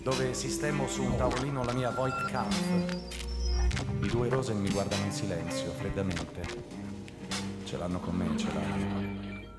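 Over loud music, in a big reverberant space, comes a brooding detective played by a male actor. His voice is low, and he tells his own story in halting, intense phrases, as in a radio drama. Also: steady tone, natural voice. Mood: wary, tense. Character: brooding male police android hunter narrating his story. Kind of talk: dramatic first-person radio drama narration. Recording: echoey hall reverb, loud music underneath. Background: loud live music.

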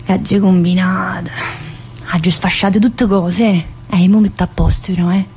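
A woman's voice: fairly low pitch, fairly even intonation, darkish tone, slight background noise, natural voice. An actress playing a talkative, troubled woman locked in a psychiatric prison, in a radio theatre monologue, with a Neapolitan accent and a colloquial, confiding, rambling manner. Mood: chatty, resigned. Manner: colloquial, confiding, rambling, with abrupt pauses and interjections.

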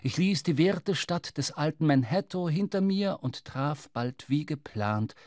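A man's voice: on the high side, animated, unhurried, a little mellow, dry recording, studio quality. A man playing a wry, brooding seafarer who narrates his own adventure in a literary radio drama. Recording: clear music behind the voice.

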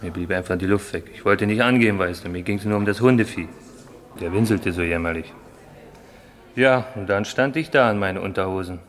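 Male actor as thoughtful, rambling workmate with a fairly low voice, radio crime drama, good-natured. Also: fairly quick, lightly acted. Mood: reflective.

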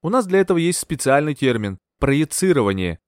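Cold condescending doctor read by a man, calm and smooth, dry sound. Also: a little high, fairly quick, dry recording, voice pushed up. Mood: cool. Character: calm, cold-mannered male doctor, sly.

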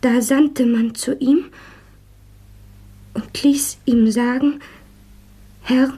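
Casting: solemn woman reciting scripture for radio drama, high but mellow, whispery, hushed. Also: fairly even intonation, very slow, exaggerated voice. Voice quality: breathy, whispery.

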